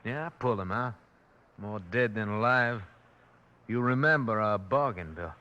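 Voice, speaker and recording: An actor playing a sly, cocky captured outlaw with a Western accent in a radio western. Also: male voice, fairly low pitch, normal speed, bright, forceful tone, dull audio. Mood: sly, rueful. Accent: American Western. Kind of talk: 1950s radio western acting. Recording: old radio recording with faint noise.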